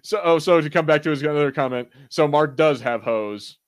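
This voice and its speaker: A man's voice: fairly high pitch, moderate pace, no echo. A man co-hosting a podcast in casual, slangy chat, joking and rambling with some swearing. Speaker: podcast co-host. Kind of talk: casual chat reviewing a TV show and reading live chat.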